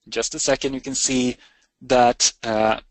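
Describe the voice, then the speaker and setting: A man with a medium-pitched voice walks listeners through a software demonstration. He explains things in a measured, semi-improvised tutorial style, sometimes posing a question and answering it. The sound is dry, with very little room around the voice. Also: flat delivery, no echo, low-quality recording. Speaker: webinar presenter giving a software demonstration.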